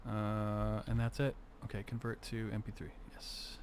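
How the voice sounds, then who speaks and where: A man's voice: on the low side, monotone, a little slow, slight background noise. A young man with a congested, sniffly voice, chatting casually on a livestream, swearing freely and muttering to himself as he works on a music remix. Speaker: streamer making a music remix.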